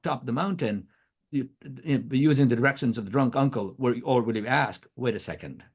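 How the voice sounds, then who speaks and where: A middle-aged man whose first language is Nepali lectures earnestly, posing question after question. His pitch swings widely as he talks, and he stumbles and restarts words often. His throat is irritated by allergy. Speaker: guest speaker, professor. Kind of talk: academic talk.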